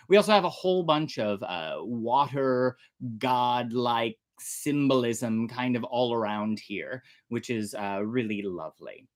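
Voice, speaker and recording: A storytelling show host presenting casually to an online audience in a chatty, theatrical way. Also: mid-range voice. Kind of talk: casual livestream presenting to camera.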